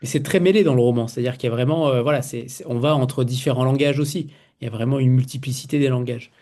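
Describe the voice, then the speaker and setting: A man's voice: mid-range voice, steady tone, fast talker, dark tone. A man hosting a literary interview over a video call, asking questions with frequent hesitations. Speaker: host.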